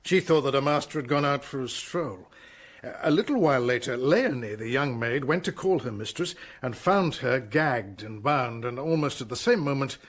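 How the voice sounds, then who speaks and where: An actor playing an earnest, deferential French police inspector in a radio drama, formal and methodical in speech. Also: male voice, mid-range voice, animated, moderate pace, close to an everyday voice. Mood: grave report. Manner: formal, methodical, reporting carefully.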